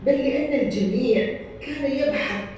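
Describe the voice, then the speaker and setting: Female poet, rapid literary recitation, recorded from a distance with strong room echo. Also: sits high, a little mellow. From Iraq. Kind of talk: literary poetry reading. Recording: very echoey, distant, faint noise.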